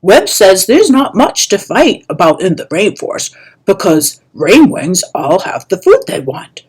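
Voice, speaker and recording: A woman voicing a mild, peaceable young male dragon who pleads earnestly and gently in a storybook reading. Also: mid-range voice, sing-song, a little slow, slightly rough.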